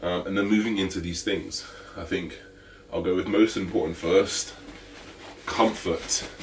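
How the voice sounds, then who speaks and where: A man with a deep, slightly raspy voice, talking casually to camera in a fitness vlog with lots of fillers. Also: a little slow, far from the mic, a little hiss or hum. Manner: energetic, chatty, full of fillers. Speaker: fitness vlogger.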